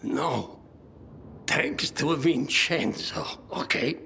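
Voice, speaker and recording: A man's voice: a little high, creaky, bright, forceful tone, a little hiss or hum, heavily acted. An actor playing a wounded, stubborn old man who is dying, stammering weakly and short of breath in a video game. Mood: pained, insistent. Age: older. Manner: halting, stammering speech broken by pauses. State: weak, breathless, coughing, in pain. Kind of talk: dramatic video game acting.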